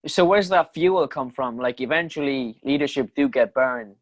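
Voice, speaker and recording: A man's voice: mid-range voice, moderate pace, no echo. A man interviewing a guest in a casual chat, affirming him and asking short questions.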